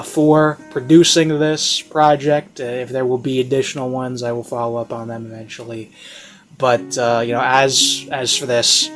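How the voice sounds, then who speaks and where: A man delivers an unscripted review in a casual, rambling style. His pitch sits in the middle of the usual male range. He speaks haltingly, often rephrasing as he goes, over a bed of classical music. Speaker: online reviewer. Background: classical background music.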